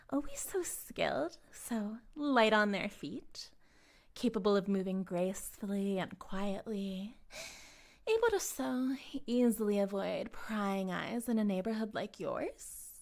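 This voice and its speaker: A woman playing a possessive, self-righteous cult leader in an intimate audio roleplay, cooing and condescending, sometimes breathy, speaking directly to the listener. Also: mid-range voice, lively intonation, unhurried. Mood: smug, coaxing. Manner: theatrical, cooing, condescending, drifting into breathy whispers.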